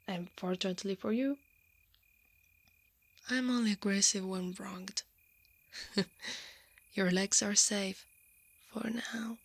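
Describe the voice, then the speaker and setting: A woman playing a brave, protective female knight in an intimate ASMR roleplay, softly and often in a breathy whisper. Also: medium pitch. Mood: teasing, dominant. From Italy. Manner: slow, intimate, hushed delivery.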